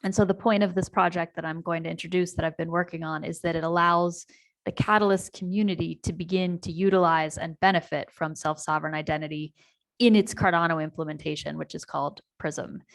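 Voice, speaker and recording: American woman with a low, gravelly voice. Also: steady tone, fairly quick. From United States. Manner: warm storytelling with frequent ums and restarts. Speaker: community presenter. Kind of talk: online town hall presentation. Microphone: video call.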